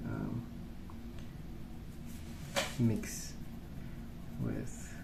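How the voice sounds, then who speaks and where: A man speaking softly in a hushed, unhurried ASMR ramble, musing as he goes. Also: on the low side, big pitch swings, creaky, roomy sound, very noisy. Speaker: ASMR video host. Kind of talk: soft-spoken ASMR rambling while colouring.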